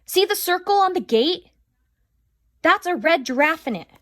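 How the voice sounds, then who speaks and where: Lady reading as a squeaky eager little girl, loud and bouncy, dry close sound. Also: expressive, moderate pace, no room sound. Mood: insistent. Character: excited young girl, eager, insistent.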